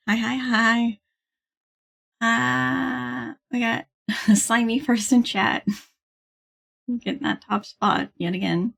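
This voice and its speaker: A woman livestreamer chatting casually with viewers in a bubbly, giggly manner, with a slightly husky voice. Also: fairly high pitch, steady tone, extremely slow, long pauses, fairly bright tone. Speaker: livestreamer hosting a gaming stream. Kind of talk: casual stream chat with viewers.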